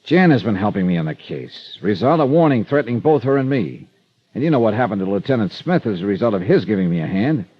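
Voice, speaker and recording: A man's voice: fairly low pitch, big pitch swings, fast, breathy edge, no room sound. An American man playing a wry, hard-boiled freelance insurance investigator in clipped, confiding 1950s radio detective style. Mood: businesslike. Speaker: lead actor and narrator. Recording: muffled vintage radio recording with music.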